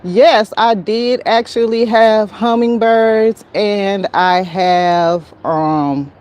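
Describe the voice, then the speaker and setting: Slow-talking American woman, outdoors. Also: mid-range voice, a little mellow, highs cut off. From United States. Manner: folksy, talks to herself and her plants. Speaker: garden vlogger, livestream host. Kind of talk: casual livestream chat while gardening. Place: outdoors in a garden. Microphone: handheld phone. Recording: noisy outdoor phone recording.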